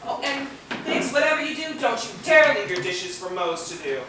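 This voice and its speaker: An actress playing a sassy, affectionate young wife and artist in a stage play, with teasing phrasing. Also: female voice, a little high, sing-song, normal speed, background noise. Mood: bossy. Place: theatre stage, videotaped live from a distance. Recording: echoey theatre hall, distant camera mic.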